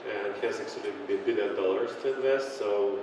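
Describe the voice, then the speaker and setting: A man moderating a conference panel, talking haltingly and searching for his words. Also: lowish voice. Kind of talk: panel discussion. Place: conference hall. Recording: reverberant hall with faint noise.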